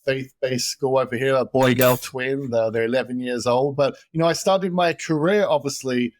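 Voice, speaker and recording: Energetic London man, dry sound. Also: medium pitch, moderate pace, no room sound. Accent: London English. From London, England. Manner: energetic, repeats words when starting sentences. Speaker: guest, gold investment expert. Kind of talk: casual interview talk.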